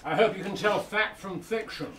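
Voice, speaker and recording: A man playing an incisive, cool Victorian gentleman detective in a British radio drama, speaking in a clipped, precise way. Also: high-pitched, moderate pace, slight background noise. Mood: focused, dry. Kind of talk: BBC radio drama acting.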